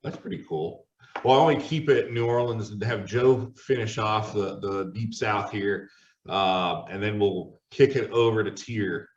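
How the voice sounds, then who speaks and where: A man's voice: fairly low pitch, a little slow. A man hosting and moderating a casual discussion in a conversational way. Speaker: host, moderator. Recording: echoey room, distant mic, muffled.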